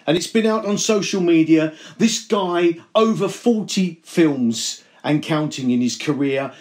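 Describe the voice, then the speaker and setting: A man's voice: fairly high pitch, a little slow, a little mellow. Effusive male radio host. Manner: effusive, full of fillers. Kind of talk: radio interview.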